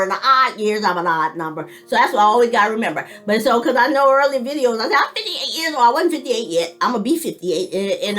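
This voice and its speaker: A woman's voice: fairly high pitch, a bit bright, rough recording. A woman in her fifties talking to camera in a casual personal monologue, rambling with frequent restarts and folksy, informal phrasing, laughing between thoughts. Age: 50s. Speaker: vlogger.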